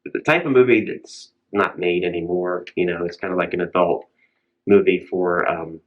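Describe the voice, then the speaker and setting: A man's voice: low-pitched, normal speed, dull audio. A man co-hosting a casual recommendation show, talking informally about movies with hesitant phrasing. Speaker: show co-host.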